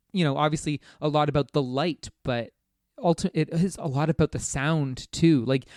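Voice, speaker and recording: Male podcast co-host, mid-pitched, casual and conversational, thinking aloud in looping phrases, closely recorded dry sound. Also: darkish tone, dry recording. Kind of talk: casual video game discussion.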